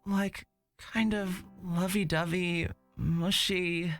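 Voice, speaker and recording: A woman voicing a gentle, bashful, romantic young man who explains things hesitantly and earnestly in a visual novel. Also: lowish voice, fairly even intonation, slow, soft background music, pitched below the performer's own voice. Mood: shy, earnest. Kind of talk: visual novel character read aloud.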